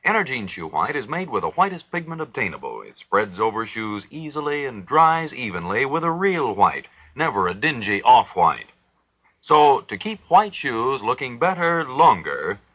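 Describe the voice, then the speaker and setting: American male commercial announcer, very animated pitch, 1940s radio ad read, dull dry vintage sound. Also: normal speed, dry recording.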